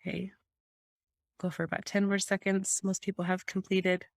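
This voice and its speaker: A woman's voice: mid-range voice, level tone, normal speed, no room sound. A woman moderating a webinar as host, talking in a light, easygoing way.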